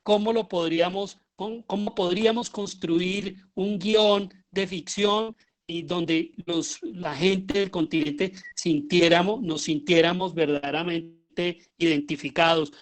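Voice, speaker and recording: A middle-aged man with a Colombian accent giving an informal lecture, often pausing and repeating himself as he searches for words. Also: high-pitched, steady tone. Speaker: lecturer, radio drama director.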